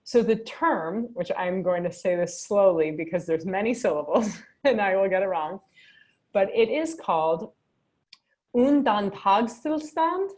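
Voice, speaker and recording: A woman's voice: medium pitch, lively intonation, a little slow, darkish tone. A woman leading a journaling workshop over a video call, upbeat and chatty, often asking "right?". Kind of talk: guided reflection on a video call.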